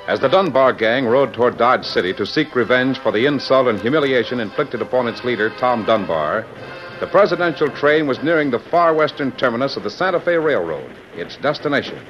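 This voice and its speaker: A man's voice: medium pitch, expressive, a little fast. A man narrating a vintage radio drama in a dramatic scripted style. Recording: muffled old radio recording over music.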